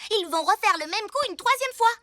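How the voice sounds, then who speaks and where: Very high-pitched little superhero girl. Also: expressive, a little fast, heavy background noise. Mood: confident. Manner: confident, take-charge, emphatic. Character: earnest, bossy six-year-old superhero girl in owl costume. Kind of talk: children's cartoon dub. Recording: music under the dialogue.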